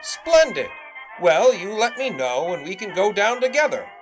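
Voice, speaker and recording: Guy doing a pompous high-voiced radio huckster, swoopy and showy, faint music behind. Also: very animated, moderate pace, rough recording. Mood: boastful. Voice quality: pompous, showy old-fashioned patter. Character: pompous young male radio salesman. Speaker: radio announcer character. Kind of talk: 1920s-style audio drama.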